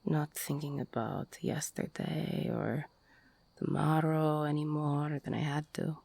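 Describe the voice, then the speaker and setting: Lady doing a older ex-mobster girlfriend for audio rp, super gravelly and husky, breathy half whisper, teasing but vulnerable. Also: low voice, steady tone, darkish tone, no echo, full character voice. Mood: raw, accusing. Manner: hesitant, slow, half-whispered confiding. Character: older mobster-turned-bartender ex-con girlfriend, tender, teasing, insecure. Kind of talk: intimate romantic audio roleplay monologue.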